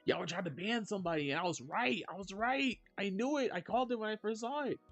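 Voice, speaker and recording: Rambling male streamer chatting while drawing. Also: fast talker. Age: 30s. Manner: rambling, many "like" fillers, lively jumps in pitch when reacting to chat. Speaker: streamer and digital artist. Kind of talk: casual livestream chat while drawing.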